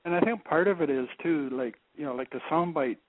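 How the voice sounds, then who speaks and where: A soft-spoken man speaking as a guest, halting, with many repeated words and restarts. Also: a little high, no room sound. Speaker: guest expert. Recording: phone-line audio.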